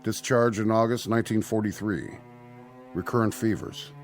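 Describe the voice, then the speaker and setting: An actor playing a concerned, businesslike American hospital doctor in a radio drama, explanatory and reassuring in manner. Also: male voice, lowish voice, steady tone, moderate pace, lightly acted. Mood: explanatory, grave. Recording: studio radio drama with faint music.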